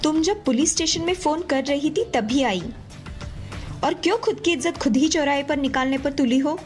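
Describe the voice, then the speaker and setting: Stern offended mother-in-law played by a woman, high voice, cartoon story, soft music behind. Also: normal speed, lightly acted. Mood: reproachful. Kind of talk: animated moral story dialogue.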